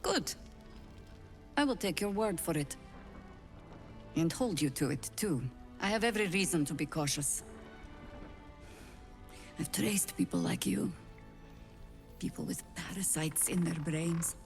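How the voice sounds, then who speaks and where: An actress playing a battle-worn, wary elder druid leader in a video game, speaking in a measured, wry, grave way. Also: female voice, fairly low pitch, expressive, faint music behind. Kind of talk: dramatic video game dialogue.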